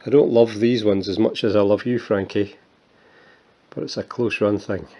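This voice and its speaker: A man's voice: on the low side, steady tone, moderate pace, dark tone. A Scottish man in his fifties, chatting casually and with self-mocking humour while showing his coin collection, with plenty of fillers and restarts. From Scotland. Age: 50s. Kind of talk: casual show-and-tell vlog.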